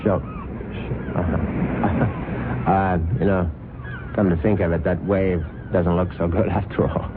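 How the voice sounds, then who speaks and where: Low-voiced wry fortune hunter, old radio play, tinny with hiss. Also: male voice, flat delivery, slow. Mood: evasive. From born in London. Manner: dry, wry first-person narration. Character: charming male beach bum turned fortune-hunting husband. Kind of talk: 1970s radio drama acting.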